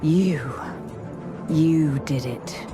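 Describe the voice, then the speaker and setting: A woman playing a guarded witch heroine in a video game, measured and understated. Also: low-pitched, music in the background. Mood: accusing. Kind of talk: video game cutscene acting.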